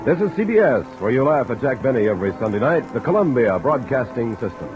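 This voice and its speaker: A man's voice: medium pitch, sing-song, quick pace. A man announcing in formal, emphatic 1950s network radio style, reading from a script. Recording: muffled old radio broadcast, music and rain.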